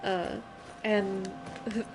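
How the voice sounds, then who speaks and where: A woman's voice: medium pitch, flat delivery, very slow, rough recording. A woman game streamer giving casual live commentary, thinking aloud in a rambling way with chuckles slipped into her sentences. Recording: game music loud behind the voice. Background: loud video game music.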